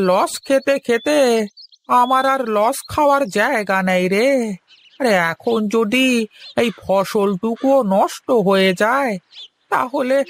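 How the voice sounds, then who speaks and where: Man acting a high-pitched wailing village farmer. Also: vocal fry, a bit bright, a little hiss or hum, heavily acted. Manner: plaintive, lamenting, drawn-out phrases. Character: despairing, debt-ridden village farmer, elder brother, prone to wailing. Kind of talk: Bengali cartoon dubbing.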